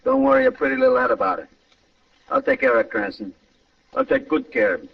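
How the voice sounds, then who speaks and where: A man's voice: extremely high pitch, wildly swooping pitch, fast, creaky, exaggerated voice. An actor playing a slangy, scheming small-time American gangster and ladies' man in an old-time radio crime drama, wheedling in tough street talk. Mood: coaxing, menacing. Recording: muffled old radio recording, distant mic.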